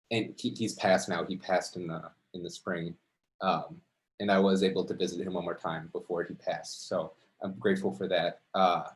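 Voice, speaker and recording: A man telling an unscripted personal story to an audience in a halting, conversational, reflective way. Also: low voice. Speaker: amateur storyteller at a story slam.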